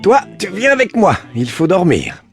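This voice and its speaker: A man's voice: sits high, huge pitch swings, normal speed, over music, exaggerated voice. A man voicing a confident grown-up father in a cartoon game. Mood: patient, insistent.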